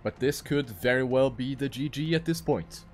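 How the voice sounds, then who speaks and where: A man's voice: mid-range voice, normal speed, soft background music. A man giving live commentary on a video game race.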